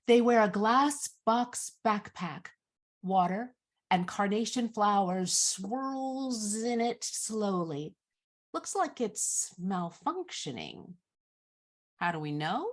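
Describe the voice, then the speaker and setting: A woman narrates stage directions in a measured, descriptive way. Her voice sits at a medium pitch and the pace is slow. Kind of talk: scripted narration.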